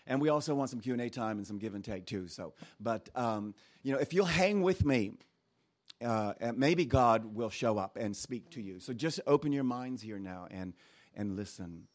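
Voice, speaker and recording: A man raised in Japan preaches as a guest speaker, reading scripture aloud and then drifting into stories from his own childhood. He talks in an animated, conversational way, with quick asides to the congregation. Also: mid-range voice. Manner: animated storytelling with asides and laughs.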